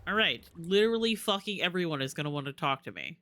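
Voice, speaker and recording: A man's voice: fairly high pitch, animated, fast, sharp tone, no room sound. A man chatting casually and informally as he live-streams a video game, rambling and swearing now and then. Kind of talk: casual live-stream chat while gaming.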